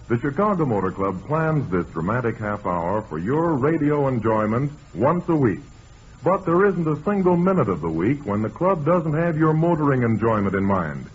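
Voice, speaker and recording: An American man announcing old-time radio, giving a formal sponsor pitch in a husky voice. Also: a little hiss or hum. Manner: formal, salesmanlike. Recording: muffled old radio recording.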